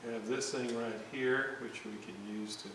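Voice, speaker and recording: A man giving casual hands-on repair commentary, thinking aloud in a hesitant, halting way as he works. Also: medium pitch, fairly even intonation, a bit bright. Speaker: electronics restorer narrating a repair video. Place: indoor room at a workbench. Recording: very echoey room, distant mic, clear hiss.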